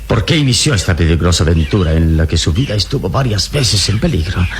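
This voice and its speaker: An actor playing a calm, courteous adventurer hero in a Latin American radio serial, speaking deliberately with dramatic pauses. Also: male voice, low-pitched, noisy background. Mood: curious, probing.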